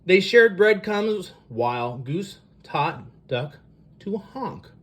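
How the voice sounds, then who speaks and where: A storyteller reading a picture book aloud for young children in an animated, expressive way. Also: mid-range voice, slow pace, slightly rough.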